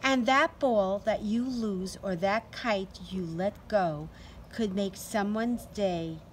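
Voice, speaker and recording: A middle-aged woman doing an expressive, deliberate read-aloud storytime for young children. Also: medium pitch. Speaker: teacher reading to children. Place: outdoors in a sunny backyard. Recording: outdoor ambient noise.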